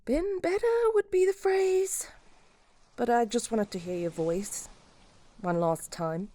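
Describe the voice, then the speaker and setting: Woman playing a slow, resigned, despairing caller. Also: a little high. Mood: wistful. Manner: quiet, halting, wistful, resigned. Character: despairing, resigned infected woman saying farewell. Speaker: helpline caller. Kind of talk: audio drama phone-call acting.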